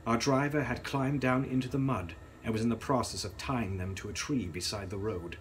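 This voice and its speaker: A young man giving a measured, theatrical reading of gothic fiction as its author. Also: medium pitch, slight background noise. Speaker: author reading own novel. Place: dark indoor room, on camera.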